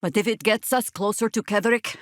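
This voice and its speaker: A woman voicing a battle-weary, middle-aged druid in a fantasy video game, dry and wry, speaking in measured, formal phrases. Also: medium pitch, no room sound, natural voice. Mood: grave, measured. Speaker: video game companion character.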